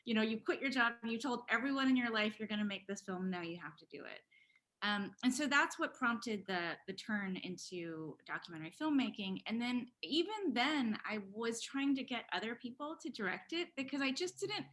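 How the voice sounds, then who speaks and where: A woman's voice: a little high, animated, normal speed, fairly bright tone. A woman filmmaker talking casually and candidly on a panel, animatedly quoting herself and others as she tells her story.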